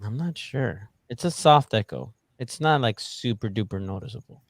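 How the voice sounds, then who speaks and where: A man co-hosting a podcast over a call in headphones, chatting playfully and teasing his co-host with quick laughs. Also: medium pitch, animated, no echo. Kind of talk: casual podcast chat. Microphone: podcast mic on a remote call, wearing headphones.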